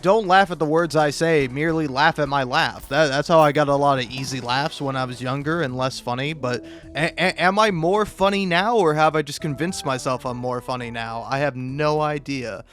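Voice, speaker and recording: A man doing casual, comedic, rambling gaming commentary, halting and stammering, self-deprecating and freely profane. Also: fairly high pitch, fairly bright tone, faint music behind. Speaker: video creator and host.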